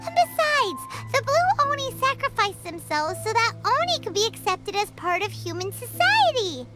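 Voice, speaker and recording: A woman voicing a tiny, excitable fox-like creature companion with a squeaky, shrill manner in a video game. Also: moderate pace. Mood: earnest. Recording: game audio with loud music.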